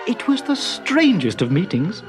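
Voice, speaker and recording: A man telling a children's story with a sing-song, lively voice that swoops up and down. Music plays clearly behind him throughout. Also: sits high, measured pace. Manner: lively, expressive narration. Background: background music.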